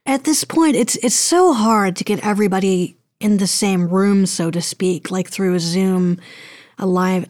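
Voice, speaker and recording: A woman's voice: medium pitch, darkish tone, dry recording, clean studio sound. A woman speaking casually as an interview guest, thinking aloud in a hesitant, rambling way. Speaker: guest, audio drama producer and voice actor.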